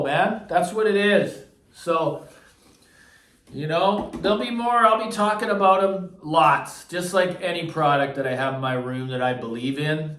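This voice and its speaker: An echoey listening room with the microphone some way off carries a man's slightly high voice. He talks casually and without a script, like a reviewer addressing his regular viewers. His delivery is enthusiastic and emphatic, often repeating a phrase to drive it home. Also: fairly bright tone. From Canada. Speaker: audio gear reviewer. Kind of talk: casual unscripted vlog review. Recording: roomy echo, distant microphone.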